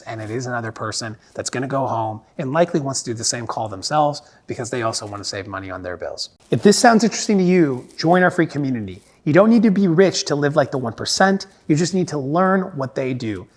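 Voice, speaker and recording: A man hosting an explainer monologue, talking in a conversational, instructive way. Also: medium pitch. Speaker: host. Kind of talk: explainer monologue giving money tips.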